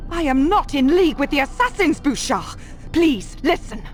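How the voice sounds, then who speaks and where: A high-voiced, defiant warrior woman. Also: animated, normal speed, soft background music. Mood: urgent. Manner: urgent, indignant. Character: proud, defiant, fiery young Templar woman. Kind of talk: video game cutscene acting.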